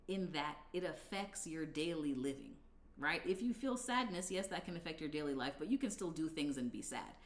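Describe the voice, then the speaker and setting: A deep-voiced woman in her thirties speaks candidly straight to her viewers, her pitch swinging widely as she explains. Her low voice rings a little in an echoey room, and she talks like a coach sharing her own story. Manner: candid, conversational, animated, punctuated with laughs. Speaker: coach and video creator. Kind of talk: direct-to-camera talk video.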